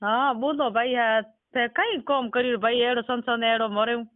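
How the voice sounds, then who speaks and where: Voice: medium pitch, moderate pace, no room sound. A person with a Rajasthani accent speaking informally on a phone call, asking insistent, repeated questions. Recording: muffled band-limited phone call recording.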